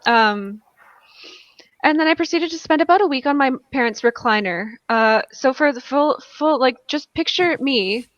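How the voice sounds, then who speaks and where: A woman's voice: high-pitched, animated, normal speed, no echo. A woman casually telling a personal story in a wry, self-deprecating way, acting out bits of dialogue on a video call. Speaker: guest on a charity livestream. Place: indoors at home, on a group video call. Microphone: video call microphone. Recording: dull, close video-call audio.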